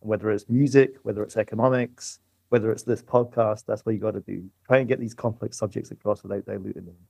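Man on a podcast as expert guest, lowish voice, no room echo, conversational. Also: fairly even intonation, quick pace, gravelly, darkish tone, slightly rough. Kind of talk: conversational interview.

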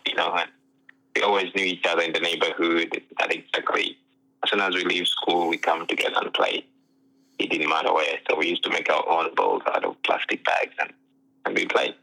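A young man from Uganda, a pro soccer player telling his story in a relaxed interview, full of "you know" fillers, heard over what sounds like a phone line. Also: sits low, creaky. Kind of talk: casual interview chat.

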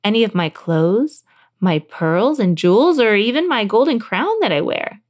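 A woman's voice: mid-range voice, expressive, normal speed, a little mellow, lightly acted. A woman voicing a young fairy-tale princess who is emotional, fretful and easily flustered. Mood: tearful, hopeful.

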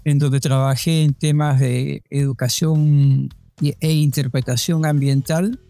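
An older man who grew up in Panama speaks as a guest, searching for words with frequent restarts. His voice is gravelly and mellow, a little high for a man. Faint music sits underneath. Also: steady tone, a little slow, dry recording. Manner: hesitant, many restarts and fillers. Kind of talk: relaxed interview answers.

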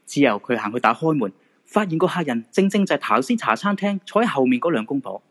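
A man's voice: on the high side, very animated, fast talker, a bit bright. A man with a Hong Kong Cantonese accent narrating a supernatural radio drama as a storyteller. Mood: suspenseful storytelling.

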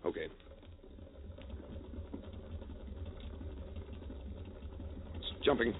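An actor playing an uneasy, skeptical medical assistant in an old-time radio horror drama, hesitant and doubtful. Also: male voice, fast talker, narrow, tinny audio, a little hiss or hum, lightly acted. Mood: wary, tense.

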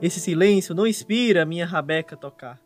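An actor playing a fussy, self-absorbed grown son who fiddles, in a radio soap. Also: male voice, high voice, fairly quick, soft background music, lightly acted.

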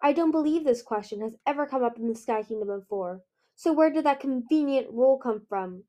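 A woman's voice: high-pitched, lively intonation, brisk, dark tone. A woman voicing an imperious older dragon queen, a storybook villain who speaks in an airy, haughty drawl. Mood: smug menace.

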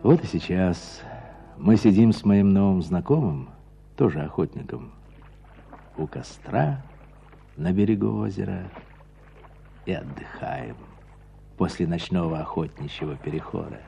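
A man's voice: low-pitched, expressive, measured pace, creaky, pauses often, dark tone, faint music behind, exaggerated voice. A man acting in a radio drama as a reflective writer out hunting, speaking lyrically and without hurry. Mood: dreamy, admiring. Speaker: narrator, a writer and hunter. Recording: dull sound, outdoor ambience noise.

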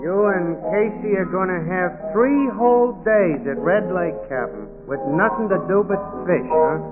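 An actor playing a naive, chatty working-class bartender with slangy, ungrammatical, earnest speech in an old radio drama. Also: male voice, sits high, expressive, measured pace. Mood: chatty, envious. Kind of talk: 1940s radio drama acting. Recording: tinny, band-limited old radio recording with music.

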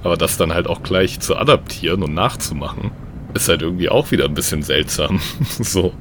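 A man's voice: sits low, animated, fairly quick, a little hiss or hum. A man co-hosting a playful improvised audio story, chatting casually with his partner. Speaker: podcast co-host.

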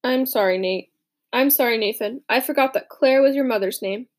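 A woman voicing an eager, intense young male inventor in an audiobook, earnest and quick to rally. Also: a little high, natural voice. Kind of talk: audiobook character reading.

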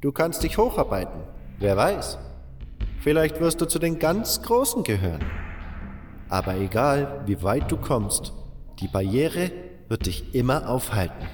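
A man's voice: medium pitch, very animated, measured pace, in a large hall, soft background music, pitched above the performer's own voice. A man voicing an old, weathered, resigned miner with a fatherly, plain-spoken manner in an audiobook. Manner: seasoned, plain-spoken storyteller, addresses listener as a youngster. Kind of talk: audiobook character voice.

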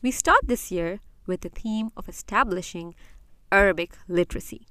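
A young woman, a graduate student, hosting an educational podcast, explaining in a measured way and speaking directly to parents. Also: medium pitch, clear, resonant tone. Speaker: podcast host; graduate student in applied linguistics. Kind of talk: scripted educational podcast talk.

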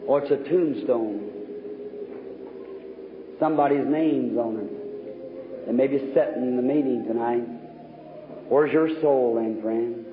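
A man's voice: medium pitch, takes it slowly, long pauses. A man preaching fervently to a revival congregation as an evangelist, exhorting his listeners. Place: large reverberant meeting hall. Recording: tinny, noisy, echoing old hall recording.

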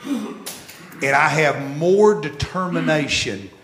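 Man in his 60s, pastor mid-sermon. Unhurried delivery, swings from loud repeats to near-hush, boomy room. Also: lively intonation, crowd noise. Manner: emphatic, repeats phrases and swells loud, then drops very soft. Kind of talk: sermon with storytelling. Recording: echoey church room.

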